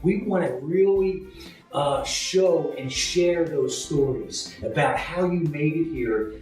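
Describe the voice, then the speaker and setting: A man's voice: a little high, takes it slowly, darkish tone. A man hosting a live-stream interview, talking in an upbeat, motivational way. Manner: upbeat, hyped motivational talk. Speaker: host. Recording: echoey room, mic far off, faint music.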